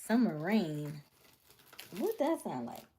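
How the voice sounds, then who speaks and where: A woman chatting casually with her viewers on a livestream, drifting into soft whispers and laughing often. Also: fairly low pitch, wildly swooping pitch, slow pace, a little hiss or hum. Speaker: livestream host.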